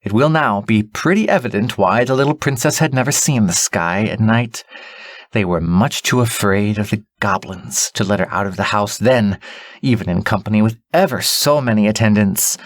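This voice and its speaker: A man reading a storybook as an audiobook narrator, in a deliberate, dramatic way. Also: on the low side, expressive, no echo, clean studio sound.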